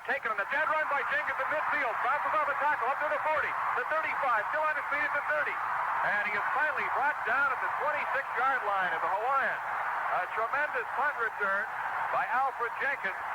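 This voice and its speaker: An American man calling live football play-by-play on the radio in short, vivid, punchy bursts. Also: sits high. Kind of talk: live radio sports commentary. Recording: very noisy old 1970s radio broadcast. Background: loud steady background noise.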